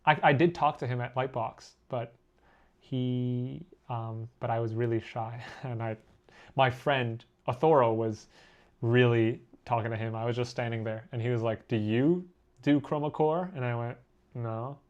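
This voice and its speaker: This is a young man from Korea talking easily to his stream audience as he sketches. His voice sits at an ordinary middle pitch, and his chatter is loose and self-deprecating, with frequent laughs. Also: fairly even intonation, unhurried, highs cut off. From born in Korea, raised abroad. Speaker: artist live-streaming. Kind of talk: casual live-stream chat reading comments.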